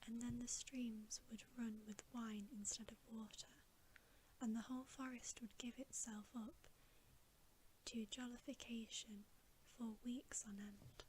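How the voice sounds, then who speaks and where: A woman reading a bedtime story aloud as ASMR in a soft, breathy, unhurried whisper, close to the microphone. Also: a little high, steady tone, slight background noise, poor audio.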